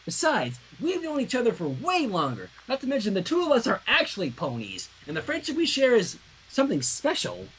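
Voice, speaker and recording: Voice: medium pitch, wildly swooping pitch, fairly quick, a bit bright, husky, pitched above the performer's own voice, heavily acted, low-quality recording. A fan fiction reader voicing a poised pony princess, a competitive rival in love, firm and dramatic. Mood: smugly competitive.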